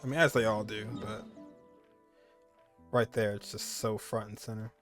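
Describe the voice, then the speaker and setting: A man's voice: lowish voice, fairly even intonation, normal speed, a bit bright, soft background music. A man giving casual reaction commentary as he streams a video game. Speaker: gaming streamer.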